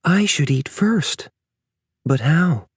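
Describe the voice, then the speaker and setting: A man's voice: fairly high pitch, lively intonation, takes it slowly, husky, no echo, voice pushed up, full character voice. An anxious, protective mother grizzly acted out by a male audiobook reader speaks in a raised voice. The delivery is urgent and questioning, like a worried parent in a storybook. The sound is dry and close. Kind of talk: audiobook character voice.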